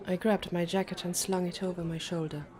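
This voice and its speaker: A woman narrates an audiobook novel in the first person. Her voice sits a little low, with a dark, mellow tone. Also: level tone, fairly quick, some chatter behind.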